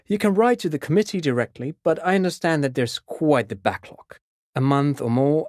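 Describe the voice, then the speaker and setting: A man's voice: medium pitch, darkish tone, no echo, studio quality, close to an everyday voice. A male actor playing a polite, enthusiastic, pedantic intellectual in a video game, explaining things in an erudite, courteous way. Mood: courteous, musing. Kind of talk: video game dialogue acting.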